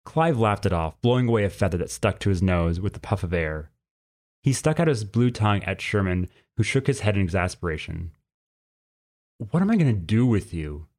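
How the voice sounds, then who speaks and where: A man reading a scripted story as narrator, in an expressive way. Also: sits low, fairly quick.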